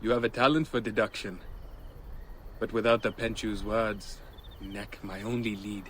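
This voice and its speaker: An actor playing a driven, scholarly relic seeker of ancient Egypt in a video game, speaking formally and with earnest intensity. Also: male voice, on the low side, steady tone, normal speed, a bit bright, slight background noise, natural voice. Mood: earnest, secretive. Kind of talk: video game cutscene acting. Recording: game music behind the voice.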